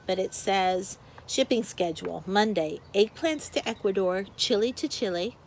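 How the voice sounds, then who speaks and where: A woman's voice: mid-range voice, moderate pace, a little hiss or hum. A woman teacher reads a picture book aloud to young children, expressively, with explanatory asides to her pupils.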